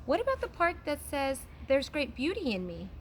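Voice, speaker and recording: A woman's voice: high-pitched, huge pitch swings, normal speed, voice pushed up. A woman voicing a cautious, bookish young boy in a children's story, speaking earnestly. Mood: curious, urgent. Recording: background noise, outdoor ambience.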